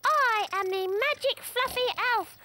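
A woman's voice: very high voice, unhurried. A woman voicing a small, silly, eager monkey puppet in a children's show, childlike and drawing words out. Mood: silly, playful.

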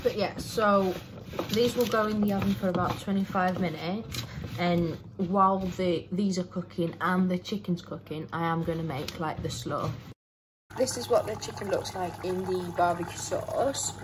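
A woman's voice: lowish voice, moderate pace, noisy background. Young woman chatting while cooking at home. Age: young adult. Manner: chatty, rambling, many fillers and self-corrections. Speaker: cooking vlogger. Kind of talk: casual cook-along chat. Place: home kitchen, indoors. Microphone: phone camera propped close, moving around.

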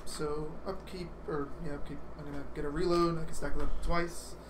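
A man's voice: on the high side, little pitch movement, brisk. A man playing a tabletop game, hesitantly talking himself through his choices in casual chat. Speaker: board game player. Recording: clearly noisy livestream room recording.

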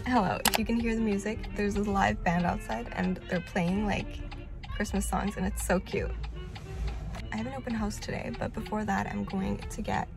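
A woman's voice: medium pitch, steady tone, moderate pace, creaky. A woman in her twenties chatting casually to the camera as a lifestyle vlogger, held at arm's length on a phone. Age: 20s. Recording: music bed under the voice.

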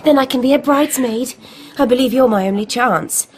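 An actress playing an earnest, eager, kind-hearted teenage daughter in a period radio drama. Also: female voice, high voice, brisk, a little hiss or hum, close to an everyday voice.

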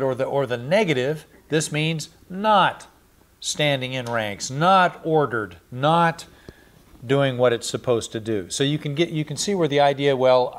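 A middle-aged man giving a verse-by-verse Bible study, explaining and repeating Greek words, seated in a small studio with a lapel mic. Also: medium pitch. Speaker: Bible teacher.

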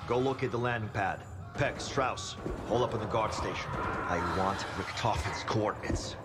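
An actor playing a no-nonsense military squad leader in a video game, authoritative and clipped. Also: male voice, on the low side, normal speed, heavy background noise, lightly acted. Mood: commanding. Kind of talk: video game cutscene acting. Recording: loud music behind the voice.

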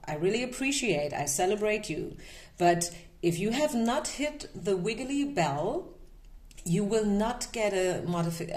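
A woman's voice: medium pitch, darkish tone. A woman with a German accent giving a casual tarot and energy reading, often checking in with listeners by asking "okay?". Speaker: tarot reader. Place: small room at a table with candles.

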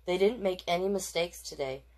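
A woman's voice: on the low side, normal speed, darkish tone. A woman hosting a children's storytime, reading picture books aloud with playful, encouraging asides to young listeners. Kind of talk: picture-book read-aloud with chatty asides.

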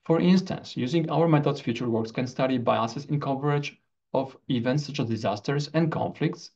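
A man giving a scripted academic video presentation as a researcher, in formal, deliberate phrasing, on a computer microphone. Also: mid-range voice, darkish tone, highs cut off.

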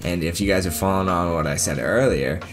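A young man with a Boston accent talks into a desk mic at his home gaming setup, his voice sitting low. He chats casually and directly to his viewers, winding through an easygoing story. A faint music bed plays underneath. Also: quick pace. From Boston area, Massachusetts. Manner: casual, chatty storyteller talking straight to viewers. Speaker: gaming video creator. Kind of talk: sit-down gameplay commentary with story time.

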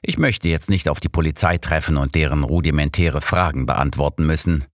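Man as old male sleuth, imperious, impatient, dry wit, low voice, close dry audiobook sound. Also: fairly quick, no echo. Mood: brisk, insistent. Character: imperious, brisk, sardonic old male master detective.

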